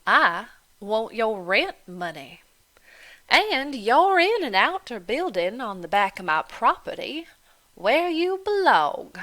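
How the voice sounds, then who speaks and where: A woman doing a loud, taunting landlady. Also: a little high, very animated, slow pace, voice pushed up, full character voice, low-quality recording. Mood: scornful. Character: sneering, coarse woman landlady, scornful and bullying.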